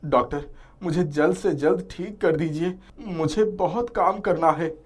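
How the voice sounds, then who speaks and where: A man's voice: on the high side, unhurried. A man voicing a diligent, overworked young truck driver in a cartoon moral story. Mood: earnest, determined.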